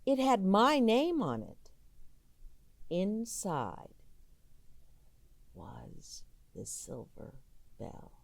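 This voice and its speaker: A woman reading a children's story aloud expressively, with deliberate dramatic pauses and emphasis on single words. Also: mid-range voice, huge pitch swings, creaky.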